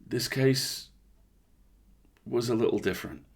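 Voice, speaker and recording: A man narrating a horror story in the first person, conversational and deliberate, with dramatic pauses. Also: medium pitch, vocal fry, husky.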